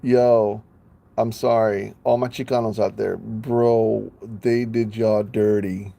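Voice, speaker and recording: A man's voice: lowish voice, flat delivery, takes it slowly, creaky, warm tone. A man chatting casually on a live stream, playful, laughing often and stumbling over names.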